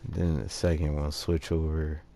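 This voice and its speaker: A man giving casual game commentary, thinking aloud in an unhurried, halting way. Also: a bass voice, flat delivery, creaky, dark tone. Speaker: gamer narrating his own playthrough.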